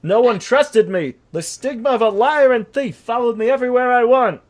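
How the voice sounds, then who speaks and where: A man plays a hapless cartoon horse telling his sad tale in a high voice. He is earnest and nervous, stammering and melodramatic as he reads from an audio comic. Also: normal speed. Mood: downcast. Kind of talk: scripted audio comic narration.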